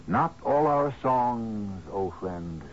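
A man hosting a radio mystery drama, narrating in a solemn, literary, deliberate manner and reciting verse. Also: lowish voice, expressive, a little hiss or hum. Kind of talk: scripted dramatic radio narration with poetry quotes. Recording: muffled old radio broadcast, music underneath.

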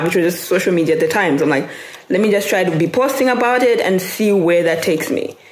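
Talkative young woman in echoey room. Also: medium pitch. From Zambia. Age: 20s. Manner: talkative, hesitant, many fillers. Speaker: guest, law student. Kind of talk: interview answers.

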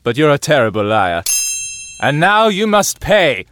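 A man playing a cocky, dry-witted Victorian gentleman detective, pompous and teasing, in a comedy audio drama. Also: fairly high pitch, sing-song, measured pace, a little hiss or hum.

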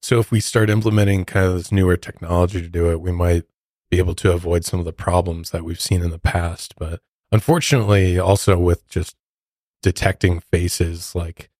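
The low-voiced man you'd hear on a true-crime podcast, explaining cases from a dry, dead-quiet studio. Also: flat delivery, brisk, no room sound. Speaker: podcast co-host.

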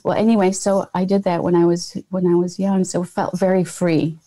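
Low, mellow-voiced woman interviewee. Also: flat delivery, fairly quick. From Poland. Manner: earnest, with frequent fillers and restarts. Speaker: guest entrepreneur. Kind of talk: conversational interview.

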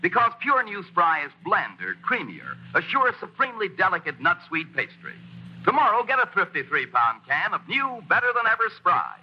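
A male pitchman delivers an emphatic 1940s radio sales read with a husky edge. His pitch swings widely and sits high. The old broadcast sounds dull and lo-fi. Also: wildly swooping pitch, normal speed. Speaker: commercial announcer.

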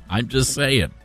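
A man's voice: medium pitch, fairly bright tone. A man hosting a conversational call-in radio show, with a sardonic, joking and emphatic way of talking. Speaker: radio talk show host.

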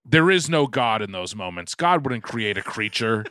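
A man with a mid-pitched, bright voice that moves a lot, co-hosting a comedy podcast. He rambles, stumbles through restarts and swears freely. Dry, close sound. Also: big pitch swings, moderate pace, clean tone, dry recording. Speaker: podcast co-host. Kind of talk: casual comedic chat.

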